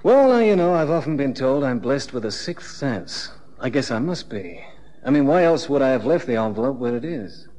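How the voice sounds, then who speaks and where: An actor playing a glib, wisecracking private investigator in a 1960s radio drama. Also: male voice, mid-range voice, sing-song, normal speed, darkish tone, close to an everyday voice. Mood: wry, bluffing. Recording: noisy old radio drama recording.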